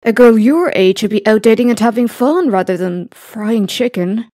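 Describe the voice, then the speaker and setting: Actress as tender mother with mellow voice. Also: on the high side, normal speed, dry recording, studio quality, close to an everyday voice. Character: tender, apologetic middle-aged mother. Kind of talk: visual novel voice acting.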